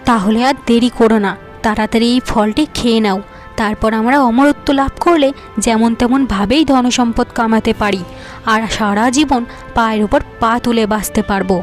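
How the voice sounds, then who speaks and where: A woman's voice: a little high, fairly even intonation, normal speed, faint music behind, lightly acted. A woman voicing a greedy, hot-tempered aunt in a fairy-tale cartoon, harsh and bossy. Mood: excited greed.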